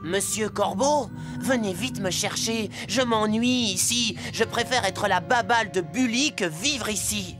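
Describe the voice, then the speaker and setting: A woman voicing a small, plucky young male rabbit in a French children's cartoon, excitable, cheeky and exclamatory. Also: medium pitch, unhurried, hardly any pauses, lightly acted. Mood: bored, glum. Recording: music behind.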